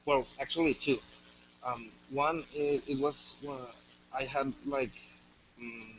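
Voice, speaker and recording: A man in his twenties from Mexico with a Mexican Spanish accent, a native Spanish speaker telling a story haltingly over a voice call, full of fillers and restarts. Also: medium pitch, fairly even intonation. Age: 20s. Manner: halting, many fillers and restarts, soft-spoken. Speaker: guest storyteller. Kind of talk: hesitant casual storytelling. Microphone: computer mic on a voice call. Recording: dull muffled voice-chat audio with faint hiss.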